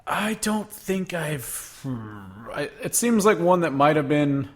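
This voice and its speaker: A man's voice: fairly high pitch, lively intonation, slow. A man co-hosting a casual podcast chat, fired-up and ranting, swearing freely. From Northern US. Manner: fired-up, swears a lot, rants. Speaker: podcast co-host.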